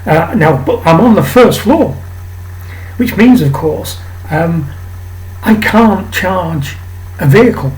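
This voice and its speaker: A man from England with a raspy voice today, hosting a rambling casual monologue to camera with many ums and restarts. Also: sits high, animated, slow pace, long pauses, a little mellow, rough recording. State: raspy voice, coughing. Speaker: channel host. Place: home flat.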